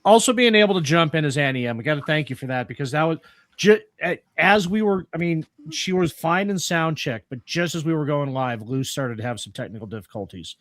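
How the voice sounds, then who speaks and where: A man hosting an informal post-show chat, often restarting his words in a halting way. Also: a little high, animated, a bit bright, no room sound. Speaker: host of a radio play group.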